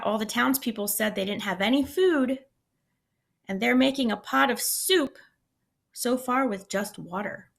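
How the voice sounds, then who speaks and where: A teacher reading a picture book aloud to young children, with expressive voices and little asides to the class. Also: female voice, fairly high pitch, gravelly. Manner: animated, pausing to comment to children. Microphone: screencast computer mic.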